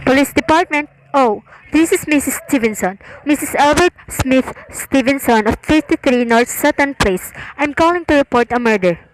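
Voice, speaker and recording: High-voiced, fretful invalid wife played by a woman. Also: lively intonation, normal speed, hardly any pauses, slight background noise, low-quality recording. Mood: anxious, fretful. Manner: fretful, indignant, insistent. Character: anxious, demanding, self-pitying invalid wife, easily exasperated. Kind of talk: radio play acting.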